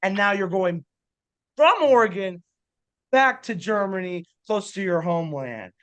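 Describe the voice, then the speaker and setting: A man's voice: high voice, expressive, a little slow, a bit bright, smooth, lo-fi sound. A man hosting a podcast interview, asking eager, loose run-on questions. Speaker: podcast host.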